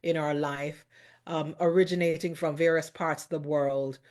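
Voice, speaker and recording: A woman's voice: deep voice, fairly even intonation, moderate pace, a little mellow. A middle-aged woman from Jamaica, hosting a talk show in an effusive, exclamatory way. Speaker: host.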